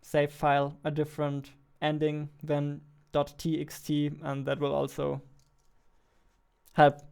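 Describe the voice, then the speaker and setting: Man, 20s, casual streamer, smooth voice on the higher side, informal, close studio mic. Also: monotone, measured pace, darkish tone. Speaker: game developer live-streamer. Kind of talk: casual live-stream chat. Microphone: close studio mic on boom arm.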